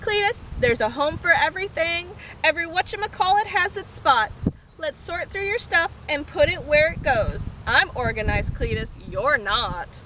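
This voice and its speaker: A woman's voice: high voice, expressive, normal speed. A woman voicing a bossy, organized young boy in a children's storybook, fussy and emphatic. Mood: bossy, matter-of-fact. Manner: instructing, emphatic, screeching when upset. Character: bossy, organized boy, fussy cousin who panics easily. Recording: noisy outdoor camera recording.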